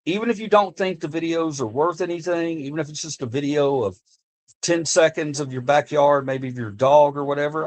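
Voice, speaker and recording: An American man streaming live and chatting to his viewers in a casual, impassioned way, often restarting and repeating himself. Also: on the high side. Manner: earnest, impassioned, repeats himself while speaking off the cuff. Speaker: livestreamer talking to chat. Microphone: streaming desk mic.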